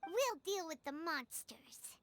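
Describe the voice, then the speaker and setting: A woman voicing a tiny, chatty, childlike fairy companion in a game, with a squeaky, cartoonish voice. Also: lively intonation, slow, faint music behind, full character voice. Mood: worried. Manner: refers to herself in third person, exclamatory.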